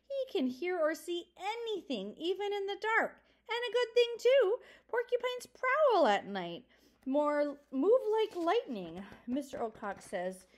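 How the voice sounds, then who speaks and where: A pompous, stout father deer mouse, as a woman reading the story puts him on, lecturing his family in a grave, stern voice. His delivery swings widely in pitch as he warms to his warnings. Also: animated, moderate pace, close to an everyday voice. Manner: grave, lecturing, self-important.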